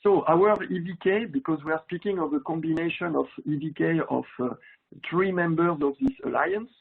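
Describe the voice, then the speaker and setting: A man's voice: a little high, moderate pace, vocal fry, husky. A man with a French accent giving a technical webinar presentation, hesitant with many fillers and restarts. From France. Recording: tinny phone-like line.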